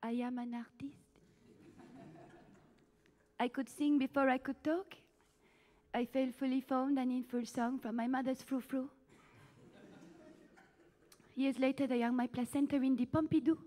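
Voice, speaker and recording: A woman's voice: sits high, steady tone, unhurried, long pauses, warm tone, voice pushed down. A woman playing a haughty, theatrical French chanteuse with a put-on French accent, singing comic cabaret songs. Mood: scornful patter. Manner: camp, mocking, flamboyant, sung with musical backing. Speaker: cabaret performer. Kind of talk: comic cabaret song and patter.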